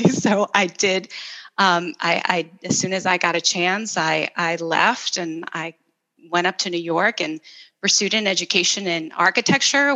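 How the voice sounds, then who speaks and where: A woman's voice: lowish voice, low-quality recording. A middle-aged woman from El Salvador, an architect talking through her background as an interview guest, with frequent restarts and fillers. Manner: warm, enthusiastic storytelling with frequent restarts and "um"s. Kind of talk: conversational interview.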